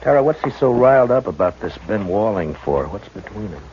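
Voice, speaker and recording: An actor playing a steady, firm frontier marshal in a 1950s radio drama, talking in a measured, hesitant drawl full of uhs. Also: male voice, on the low side. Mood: gentle. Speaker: lead actor and narrator. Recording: dull old radio broadcast with hiss.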